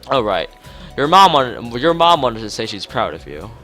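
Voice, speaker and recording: A man's voice: mid-range voice, sing-song, normal speed, sharp tone. A man voicing an aloof, blunt and dreamy teenage girl artist from a visual novel, matter-of-fact, with music behind. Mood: detached, blunt.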